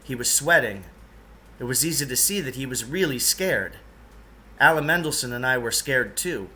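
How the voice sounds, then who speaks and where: Man, sharp forceful bright voice, medium pitch, children's story narration. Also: lively intonation, normal speed. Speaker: reader, teacher reading aloud. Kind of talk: audiobook-style storytelling of a children's novel.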